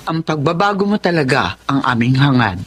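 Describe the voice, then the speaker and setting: An actress playing a concerned middle-aged mother in a Filipino radio drama, chiding yet caring, with an expressive delivery. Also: rumbling low, brisk, breathy edge, exaggerated voice. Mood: consoling.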